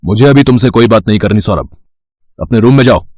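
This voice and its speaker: A man's voice: lowish voice, brisk, a little mellow, dry recording, studio quality, pitched below the performer's own voice, close to an everyday voice. Man acting a stern, scolding father. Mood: angry, scolding. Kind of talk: children's moral story dialogue.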